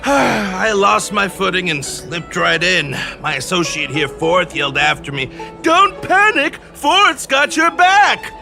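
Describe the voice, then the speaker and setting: Pompous male game comic: higher-set, piercingly bright voice, flowery and exaggerated. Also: big pitch swings, slow pace, creaky, faint music behind, full character voice. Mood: comic storytelling. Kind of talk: animated video game voice acting.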